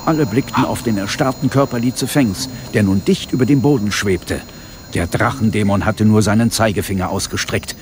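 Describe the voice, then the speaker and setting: An actor playing a cool, tense police inspector who narrates a demon fight in a dramatic radio play. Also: male voice, lowish voice, fairly quick, background noise, lightly acted. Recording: music bed under the voice. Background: dramatic music.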